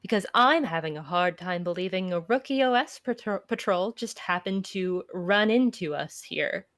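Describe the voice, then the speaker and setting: A woman's voice: lowish voice, animated, normal speed, a bit bright. Woman playing a wary, sardonic fugitive. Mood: wry, suspicious. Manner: dry, sarcastic, confrontational. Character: wary, sardonic fugitive who sizes people up. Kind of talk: amateur visual novel read-aloud acting.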